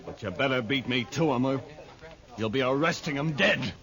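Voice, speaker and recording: An actor playing a jealous, vengeful frontier rough in a 1950s radio western, speaking in a sneering, threatening way. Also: male voice, medium pitch, moderate pace, over loud music, close to an everyday voice. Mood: bitter. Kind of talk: 1950s radio drama acting.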